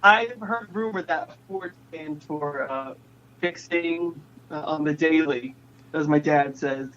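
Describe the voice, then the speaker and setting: A young man talks in a casual, playful interview style. His voice sits a little high. The phone recording is muffled, with noise underneath. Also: slow pace, fairly bright tone. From New England, United States. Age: 20s. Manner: chatty, playful. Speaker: interview guest, pop singer. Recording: muffled noisy phone connection.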